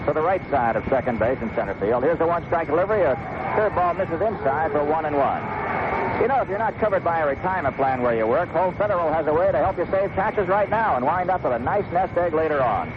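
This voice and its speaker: A man's voice: a little high, expressive, fast talker. A middle-aged American man calling baseball play-by-play on 1970s radio, conversational and folksy, in short clipped phrases. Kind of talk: 1970s live radio sports commentary with sponsor reads. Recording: muffled vintage AM radio, loud noise.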